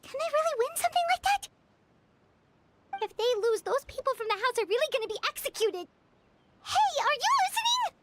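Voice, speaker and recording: An actress voicing a small, chirpy female companion who talks in an excitable, chatty way in a video game. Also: brisk, long pauses. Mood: anxious. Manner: excitable, chatty, high and bouncy.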